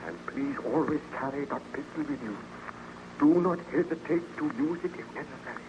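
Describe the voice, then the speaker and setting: A man's voice: on the high side, normal speed, close to an everyday voice. An actor playing a haunted, fearful young nobleman in an old-time radio drama, speaking in a hesitant, melodramatic, evasive way. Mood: anxious, urgent. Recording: noisy, muffled old-time radio recording.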